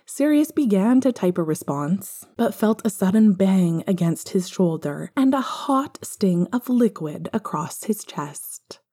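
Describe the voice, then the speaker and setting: A woman's voice: medium pitch, lively intonation, measured pace, few pauses, warm tone, breathy edge. A woman reading a story aloud as scripted narration, as a podfic reader.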